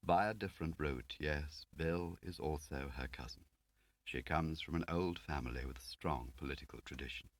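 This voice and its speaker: A man reading the part of an ageing spymaster, quiet, courteous, precise and probing, in a spy audiobook. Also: very deep voice, normal speed, no echo. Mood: dry, courteous. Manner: understated, precise, questioning.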